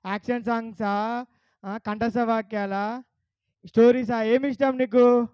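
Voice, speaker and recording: A man's voice: very high voice, steady tone, a little slow, clean tone, dry recording, muffled recording. A man with a Telangana Telugu accent hosting a puppet show, coaxing a child audience with questions. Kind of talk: lively talk to a child audience.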